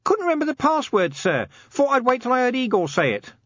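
A man's voice: very high-pitched, sing-song, brisk, fairly bright tone, dry recording, muffled recording, pitched above the performer's own voice, full character voice. A man voicing a scruffy, cheeky watchman in an audiobook, casual and clipped in his grammar.

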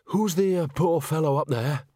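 Man playing a fretful, wailing theatre owner, higher-pitched. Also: moderate pace, mellow, no room sound, clean studio sound, natural voice. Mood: agitated, bewildered. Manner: wailing, stammering, exasperated. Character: fretful, indignant male theatre owner, easily horrified.

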